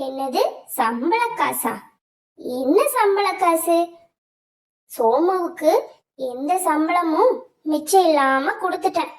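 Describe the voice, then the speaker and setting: A man's voice: very high voice, slow pace, long pauses, roomy sound, recorded from a distance, heavily acted. A crooked eagle merchant, played by a man in a children's cartoon. He talks brusquely and waves everyone away like a shopkeeper guarding his till. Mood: dismissive. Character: gruff, dismissive, cheating eagle timber merchant. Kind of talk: children's cartoon story acting.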